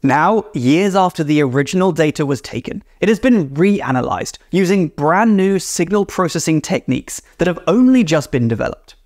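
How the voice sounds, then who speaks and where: Young man, science host, enthusiastic, clear, high-pitched, dry sound. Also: animated, moderate pace, few pauses, darkish tone, no room sound. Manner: enthusiastic, clear explaining to camera. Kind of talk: scripted science explainer. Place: small indoor room with shelf of models.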